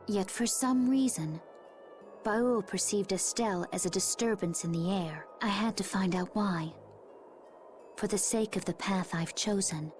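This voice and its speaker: A woman's voice: mid-range voice, a little slow, darkish tone, lightly acted. Actress as a composed, secretive young warrior woman. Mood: calm, grave. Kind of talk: scripted video game voice acting. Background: game music.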